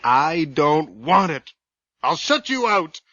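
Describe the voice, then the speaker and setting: A man's voice: a little high, sing-song, slow, bright, forceful tone, slight background noise, heavily acted. An actor playing a man in his thirties, tormented by his dead twin, defiant and pleading in a radio horror drama. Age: 30s. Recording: dry, dull old radio recording.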